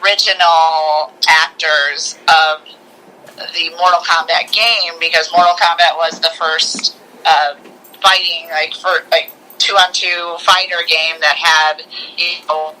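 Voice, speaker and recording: A woman co-hosting a podcast, chatting informally about movies with halting starts and bits of mimicked dialogue. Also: low voice, flat delivery, sharp tone, poor audio. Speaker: podcast co-host. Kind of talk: casual movie review chat.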